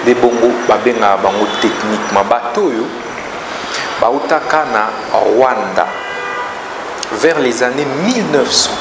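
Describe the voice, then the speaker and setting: A man's voice: mid-range voice, measured pace, noisy background. Emphatic Congolese man lecturing, echoey room. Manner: emphatic, lecturing, lists points one by one. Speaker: political guest. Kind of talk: explanatory talk-show interview.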